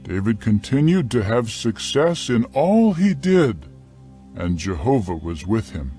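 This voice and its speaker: A man reads scripted scripture narration in a measured storytelling manner. His voice sits a little low and is very expressive in pitch, with faint music behind him.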